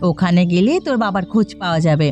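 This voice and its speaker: Cartoon village mother, acted by a woman: firm, reassuring, brusque, expressive pitch, background music. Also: fast talker, lo-fi sound, natural voice. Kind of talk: Bengali moral-tale cartoon dubbing.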